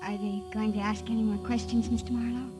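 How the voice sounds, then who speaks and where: An actress playing an earnest young country girl in a 1950s radio drama, speaking softly, politely and hesitantly. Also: mid-range voice, flat delivery, fast talker, over music, natural voice. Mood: quiet, resolved. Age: young adult.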